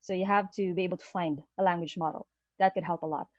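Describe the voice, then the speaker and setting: A woman's voice: on the low side, steady tone, fast talker, a little mellow, dry recording, lo-fi sound. A Filipino woman in her thirties, a native Tagalog speaker, gives an online presentation over a video call. She speaks in a friendly way, often checks in with "okay?" and uses many "uh" fillers. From Philippines. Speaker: guest speaker.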